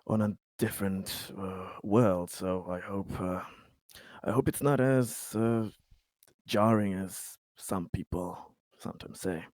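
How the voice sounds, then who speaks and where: A man playing a tabletop role-playing game, improvising in-character dialogue in a friendly, halting way. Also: low-pitched, fairly even intonation, darkish tone, no echo.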